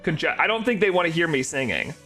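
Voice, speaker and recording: A man with a nasal voice chatting casually on a live stream, rambling and excitable, with faint music behind him. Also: on the high side, a little fast. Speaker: shiny-hunting live streamer.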